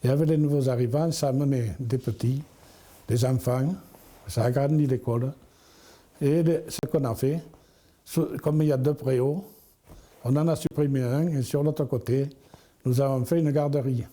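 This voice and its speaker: A man's voice: mid-range voice, steady tone, moderate pace, mellow. A man explaining how village matters went, in a plain-spoken way. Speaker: interviewed villager.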